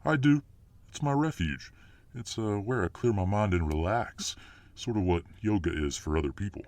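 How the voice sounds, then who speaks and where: Here is a man acting out a huge muscle-bound fireman in an audio roleplay. His voice sits low, with a very dry sound. He talks casually and without hurry, a self-assured hero who stays modest. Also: lively intonation, moderate pace, a little mellow, dry recording. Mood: cocky, relaxed.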